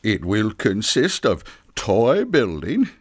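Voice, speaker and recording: A man playing a weary, loving father figure, the Christmas leader, speaking in halting, deliberate announcements in a storybook tale. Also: lowish voice, wildly swooping pitch, husky, full character voice. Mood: solemn, deliberate.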